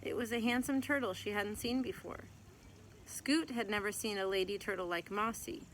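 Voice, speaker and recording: A woman's voice: medium pitch, moderate pace. Woman reading a storybook, noisy background. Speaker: teacher reading aloud to a class. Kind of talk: picture book read-aloud, scripted narration. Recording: clear background noise.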